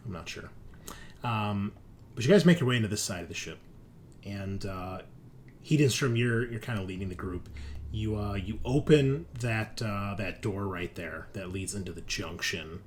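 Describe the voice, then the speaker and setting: A man running a tabletop roleplaying game as game master, narrating casually and haltingly as he thinks aloud. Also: fairly low pitch, slight background noise.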